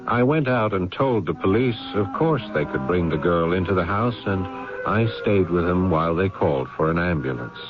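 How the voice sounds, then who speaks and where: An actor playing a steady, sober man who talks and narrates in a vintage radio drama. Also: deep voice. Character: steady, sober man narrating, concerned about his brother. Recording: muffled vintage radio recording.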